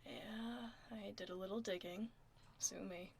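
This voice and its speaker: Gravelly, casual tomboy werewolf office woman, acted by a woman. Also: medium pitch, steady tone, measured pace. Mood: confiding, wry. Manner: casual, reassuring, wry, murmuring. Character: laid-back tomboy werewolf woman working as office admin. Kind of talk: ASMR roleplay, soft casual conversation. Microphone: close binaural ASMR microphone. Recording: close binaural mic, faint background noise.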